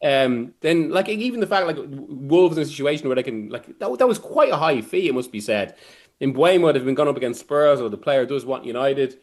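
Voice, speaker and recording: Halting man talking football analysis. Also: mid-range voice, lively intonation. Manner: halting, rambling, self-interrupting delivery. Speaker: football journalist guest. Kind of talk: sports discussion, analysis.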